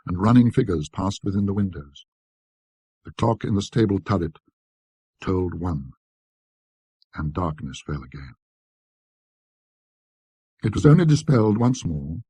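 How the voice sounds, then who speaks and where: A man narrating a classic ghost story in a precise, scholarly, measured manner, with a husky, raspy voice. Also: on the low side, mellow, dry recording. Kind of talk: dramatic scripted storytelling.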